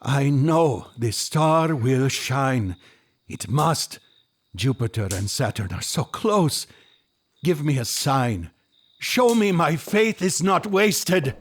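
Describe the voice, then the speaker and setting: An actor playing an earnest, devout seeker in an audio drama, hopeful and resolute, with formal, impassioned delivery. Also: male voice, a little high, sing-song, slow pace, full character voice. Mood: desperate hope.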